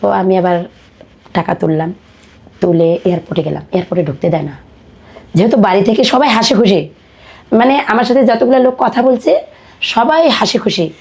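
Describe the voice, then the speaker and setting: A woman with a Bangladeshi accent telling her personal life story as a podcast guest, in colloquial, halting speech. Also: medium pitch, vocal fry, a little mellow, slightly rough.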